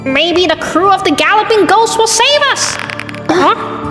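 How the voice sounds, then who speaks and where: A woman's voice: very high-pitched, lively intonation, unhurried. A woman voicing a sunny, optimistic young cartoon pony with a musing, chatty manner. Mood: musing, hopeful. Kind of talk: cartoon voice acting in a comic dub. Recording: roomy reverberant sound under music. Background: music.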